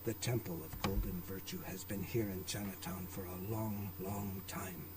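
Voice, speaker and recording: A man's voice: low voice, fairly even intonation, recorded from a distance, very noisy, close to an everyday voice. An actor voicing a gracious, formal older businessman, a courteous father figure in a video game. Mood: solemn. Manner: formal, courteous, measured wording.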